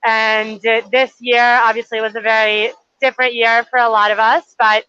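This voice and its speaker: A young woman from Chile answers interview questions in a friendly, articulate way, with only a few ums. Her voice is bright and forward. The audio is dull and lo-fi, as on a livestream. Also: fairly high pitch, level tone, moderate pace, clean tone, no echo. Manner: friendly and articulate, with light fillers. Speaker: nonprofit intern.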